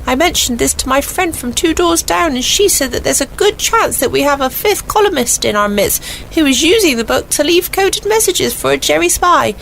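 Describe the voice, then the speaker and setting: A woman's voice: sits high, expressive, normal speed, creaky, fairly bright tone, a little hiss or hum, full character voice, poor audio. An actress playing an earnest, prim British village woman reading out her letter in a 1940s radio comedy. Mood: earnest, concerned. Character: earnest, gossipy woman villager writing to agony aunt.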